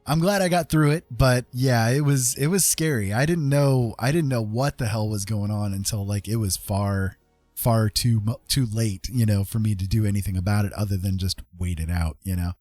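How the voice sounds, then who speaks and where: A man's voice: fairly low pitch, normal speed, a little mellow, dry recording, faint music behind. A man chatting casually as a livestream host, rambling conversationally and often checking in with his listeners. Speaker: live streamer host.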